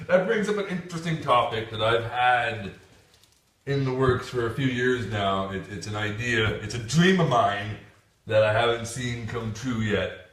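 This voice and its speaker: A man's voice: medium pitch, measured pace, fairly bright tone. A man hosting a casual podcast, rambling and swearing, laughing often. He is from Canada. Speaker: podcast host. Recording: roomy echo, distant mic.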